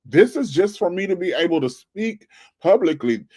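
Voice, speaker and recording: A man's voice: high voice, big pitch swings, moderate pace, a little mellow. Earnest man in his forties giving advice. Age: 40s. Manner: earnest, repetitive, preachy coaching tone. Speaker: channel host. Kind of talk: casual livestream advice talk.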